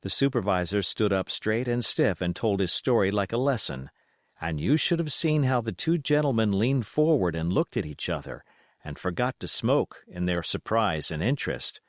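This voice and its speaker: Animated male audiobook narrator, dry sound. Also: on the low side, normal speed, no echo. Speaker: audiobook reader. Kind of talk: expressive scripted storybook narration.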